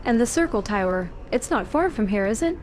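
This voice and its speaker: A woman's voice: a little high, lively intonation, fairly quick, a little mellow, a little hiss or hum, lightly acted. A woman voicing an elven heroine in a video game, direct and questioning. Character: elven woman warden, player hero. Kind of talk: fan-voiced game protagonist.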